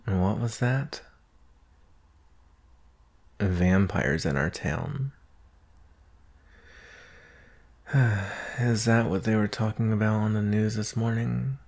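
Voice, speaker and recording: A man acting a doting, analytical boyfriend in an intimate ASMR roleplay, gentle and musing with long pauses. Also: lowish voice, vocal fry, full character voice. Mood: playful, casual. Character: doting boyfriend, soft-spoken, teasing, analytical former forensics student.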